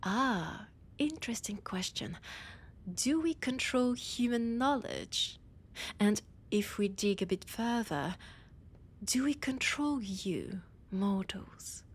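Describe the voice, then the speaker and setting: A woman playing a condescending, teasing vampire scholar in an audio roleplay, with a French accent and a breathy, husky voice that often drops to a whisper. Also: mid-range voice, full character voice. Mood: cryptic, teasing. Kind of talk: scripted audio roleplay addressed to the listener.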